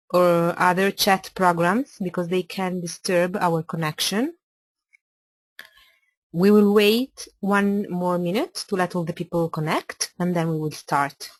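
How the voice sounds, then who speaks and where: A woman from Italy, a native Italian speaker with an Italian accent, presenting a webinar as an architect in careful, slightly halting English. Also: on the low side, steady tone, no echo.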